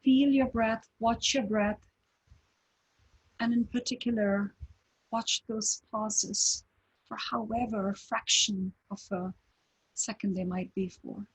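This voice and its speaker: Female meditation guide, airy breathy tone, unhurried delivery with long pauses. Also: medium pitch, rough recording. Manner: slow, calm, gentle instructions with long pauses. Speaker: meditation teacher.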